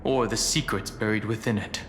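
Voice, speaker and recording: An actor playing a grave, contemplative, scholarly companion in a video game, speaking in a deliberate, portentous way. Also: male voice, fairly low pitch, steady tone, noisy background, natural voice. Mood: solemn deduction. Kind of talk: dramatic video game voice acting.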